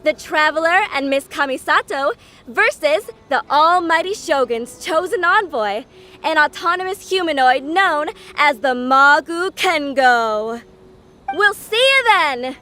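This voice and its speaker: A woman playing an excited, showy tournament announcer in a video game, projecting dramatically to hype a crowd. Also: sits high, measured pace, exaggerated voice.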